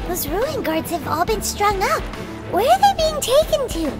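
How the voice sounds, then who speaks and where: Tiny squeaky game fairy girl, cheeky and fretful, super high and bouncy, music behind. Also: sing-song, moderate pace. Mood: worried. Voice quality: squeaky, childlike. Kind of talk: video game voice acting.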